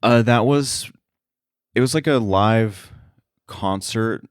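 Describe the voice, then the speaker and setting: A man with a very low voice speaks casually as a guest in an interview. He explains things thoughtfully, with some hesitation. The sound is dry, with hardly any room in it. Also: slow, clear, resonant tone, no echo. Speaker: podcast guest, sound effects editor.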